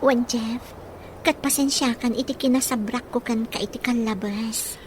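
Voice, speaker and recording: An actress with an Ilocano accent playing a gentle, grateful young woman in a radio drama. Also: fairly high pitch, fairly even intonation, normal speed, background noise, close to an everyday voice. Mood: tender.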